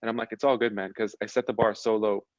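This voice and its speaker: A young man from Lebanon, a podcast guest, chatting casually and relaxed about his background, often restarting his sentences. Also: fairly low pitch, quick pace, no echo, muffled recording. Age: young adult. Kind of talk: casual chat.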